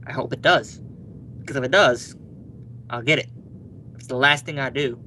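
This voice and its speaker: A man's voice: medium pitch, moderate pace, pushed and bright, a little hiss or hum, close to an everyday voice. A male actor playing a brash, stubborn young husband in an old-time radio comedy, emphatic and blustering. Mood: stubborn, irritated.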